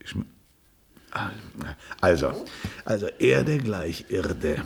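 Fussy flustered astronaut civil servant, old radio comedy, gravelly and animated, slight noise behind. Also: male voice, low-pitched, very slow, exaggerated voice. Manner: stammering, hesitant, fussily exasperated. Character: flustered male astronaut, bureaucratic civil servant nearing pension. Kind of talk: comic German radio play acting.

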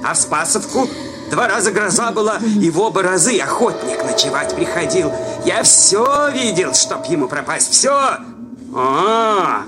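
An actor playing a jealous, superstitious village sexton in a radio drama, accusing and sputtering with sing-song exclamations. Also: male voice, high voice, big pitch swings, measured pace. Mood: indignant ranting. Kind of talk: theatrical radio drama dialogue. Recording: loud music behind the voice.

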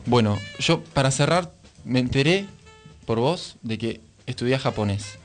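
A man's voice: mid-range voice, lively intonation, a little slow, faint music behind. A man with an Argentine accent co-hosting a casual radio show.

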